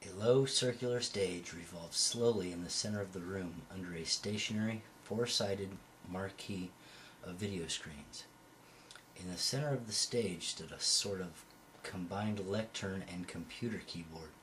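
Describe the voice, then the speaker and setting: A man's voice: sits low, moderate pace, fairly bright tone, low-quality recording. A man reading a novel aloud as an amateur, sometimes stumbling over a word and correcting himself, on a home recording. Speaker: amateur audiobook reader. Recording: home recording with faint background noise.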